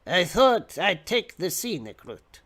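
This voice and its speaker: A man voicing a suave, unhurried vampire city bus in a storybook reading, charming with a calm menace. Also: high voice, heavily acted. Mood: smooth, coaxing. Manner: slow, smooth, charming.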